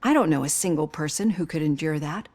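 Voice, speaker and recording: An actress playing an earnest woman doctor, speaking in measured, reassuring sentences. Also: low-pitched, darkish tone.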